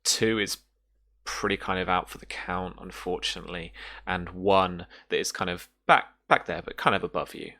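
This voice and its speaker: A man narrating a tabletop role-playing game as game master in an improvised, halting way, pausing to find his words. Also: low-pitched, sharp tone.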